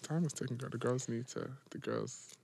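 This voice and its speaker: A man's voice: mid-range voice, wildly swooping pitch, moderate pace, creaky, darkish tone, slightly rough. A man in his twenties co-hosting a chat show, talking casually with a friend in sassy slang. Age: 20s.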